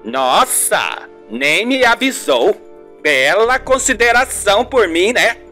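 A man's voice: high voice, sing-song, slow pace, bright, forceful tone, faint music behind, heavily acted. A man voicing a melodramatic, guilt-ridden older mother in an amateur radio soap. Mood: wounded, nagging.

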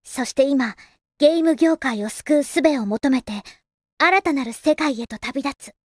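A young anime game heroine narrating dramatic episode previews. Her voice is high and girlish, with earnest, theatrical delivery and careful enunciation. The recording is dry and close, like a studio. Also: a little slow, darkish tone, no room sound.

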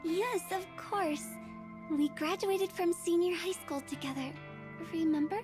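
A woman voicing a shy young woman in a visual novel, with a soft, hesitant, secretive manner. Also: very high voice, a little slow, voice pushed up. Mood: timid, apologetic. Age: young adult. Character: shy bespectacled young woman, timid but ominously insistent. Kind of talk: visual novel character reading. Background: background music.

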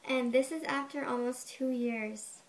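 A young woman vlogging to her webcam from her bedroom, with a high, girlish voice, chatty and a bit defensive, saying "like" a lot. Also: steady tone, measured pace, low-quality recording. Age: young adult. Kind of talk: casual to-camera explanation. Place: bedroom, indoors. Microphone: webcam at desk.

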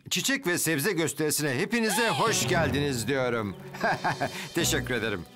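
A man's voice: on the high side, animated, unhurried. An actor playing a fussy, proud handyman dad running a village show in a children's cartoon, self-important in manner. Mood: proud, impatient. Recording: music behind the voice.

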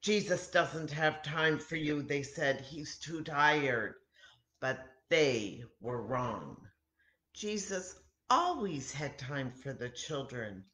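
A woman in her sixties talking and reading to children in simple, deliberate phrases with frequent pauses, as a story time host. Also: low voice, animated, a bit bright. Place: home kitchen, indoors. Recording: roomy echoey home webcam recording.

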